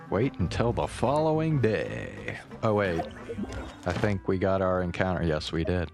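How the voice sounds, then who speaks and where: Male game streamer: very slow, low voice, laid-back casual chat, music underneath. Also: very animated, gravelly. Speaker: game livestreamer.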